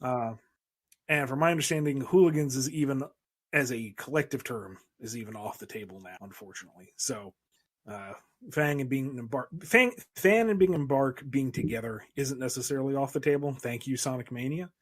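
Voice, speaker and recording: Wry, husky man. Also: mid-range voice, expressive, fairly quick, no room sound. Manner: wry, acting out quoted exchanges. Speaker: comic book writer. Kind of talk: casual podcast talk.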